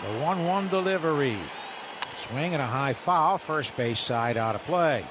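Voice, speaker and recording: A man calling baseball play-by-play on radio, brisk and rising for big plays. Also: on the high side, expressive, noisy background. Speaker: play-by-play announcer.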